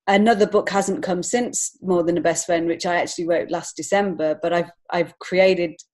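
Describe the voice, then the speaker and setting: A woman's voice: fairly low pitch, fairly even intonation, moderate pace, a little mellow. A woman speaking as an interview guest in a reflective, conversational way, earnest and feeling her way through phrases. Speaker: podcast guest, children's author and illustrator.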